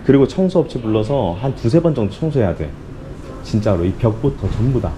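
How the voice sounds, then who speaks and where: A man's voice: medium pitch, expressive, a little fast. A man hosting a vlog, talking casually on location as he walks around and speaks with staff. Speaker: vlog host and business owner. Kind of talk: casual on-site chat. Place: indoor shop under renovation and offices. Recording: noisy handheld camera location sound.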